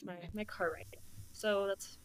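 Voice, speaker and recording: A woman's voice: medium pitch, steady tone, measured pace, smooth. Informal woman in casual book chat. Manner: informal, conversational, frequent "like". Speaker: podcast co-host.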